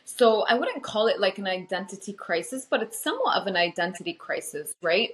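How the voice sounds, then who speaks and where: A woman co-hosting a casual podcast from home over a video call, with a light American-tinged accent, chatty and quick to laugh. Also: medium pitch, sing-song, fairly bright tone. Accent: light American-tinged English. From Lebanon. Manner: chatty, fillers and restarts, laughs often. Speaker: podcast co-host. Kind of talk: casual chat.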